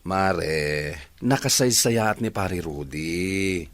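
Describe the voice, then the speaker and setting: A man's voice: sits low, animated, takes it slowly, fairly bright tone, no room sound, heavily acted. A man playing a plain-spoken family friend in a radio drama, giving admonishing, reproachful advice.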